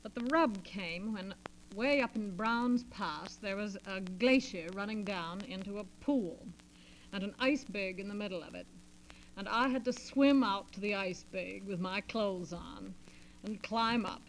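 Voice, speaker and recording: A middle-aged American woman talking conversationally as a radio guest, with measured, deliberate phrasing. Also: medium pitch. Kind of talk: conversational storytelling and discussion. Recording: noisy, humming 1940s transcription disc.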